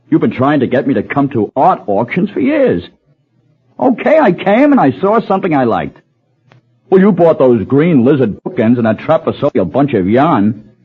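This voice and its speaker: A man playing an infatuated, defensive husband in a radio drama, with a halting, distracted delivery. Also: medium pitch, breathy edge. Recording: muffled old radio recording.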